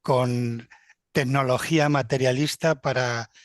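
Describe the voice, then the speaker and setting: A man moderating an online talk in a calm, measured way. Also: medium pitch, no echo, clean studio sound. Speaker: host, moderator.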